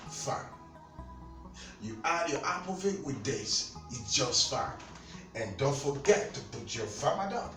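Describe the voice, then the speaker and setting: A man with a Nigerian accent talks to camera about skincare, his pitch swinging up and down as he repeats himself. He sounds a little off-mic in a roomy, echoey space. Faint music plays underneath. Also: recorded from a distance. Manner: animated, repetitive, talks straight to viewers. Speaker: skincare seller. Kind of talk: casual product talk to camera.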